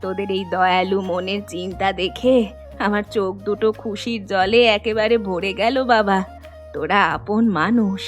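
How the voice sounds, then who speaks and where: A woman voicing a tender, doting old village mother-in-law in a Bengali animated story, sentimental in manner, with a Bengali accent. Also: medium pitch, normal speed, lightly acted. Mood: tearfully grateful. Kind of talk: animated folk story dialogue. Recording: music bed under the voice.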